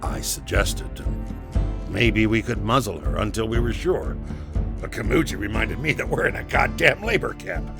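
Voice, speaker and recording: A man playing a guilt-worn labor camp inmate, telling his story in a halting, profane, brooding way with a gravelly voice, as an audio drama monologue. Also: lowish voice, animated. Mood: bitter. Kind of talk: first-person confessional audio drama monologue. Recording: music under the voice.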